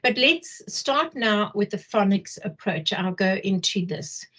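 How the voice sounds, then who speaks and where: A middle-aged woman with a Zimbabwean accent explaining material as a workshop trainer, haltingly, often repeating phrases for emphasis. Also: mid-range voice, expressive, a bit bright. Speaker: teacher trainer leading a workshop. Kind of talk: explanatory lecture.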